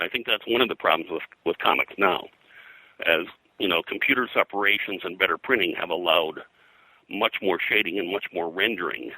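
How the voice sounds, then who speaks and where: Older man, very deep pitch, close dry sound, discursive explanatory interview talk, frequent rephrasing. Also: bright, forceful tone, dry recording, low-quality recording. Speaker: interview guest, veteran comic book colorist.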